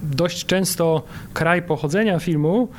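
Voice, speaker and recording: A man interviewing a guest in a conversational style, haltingly, stumbling over and repeating words. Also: a little high. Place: recorded in a cinema. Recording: noticeable background noise.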